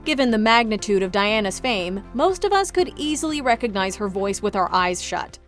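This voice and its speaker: A woman's voice: mid-range voice, expressive, moderate pace, fairly bright tone, faint music behind. A woman reading scripted narration as the narrator of a countdown video.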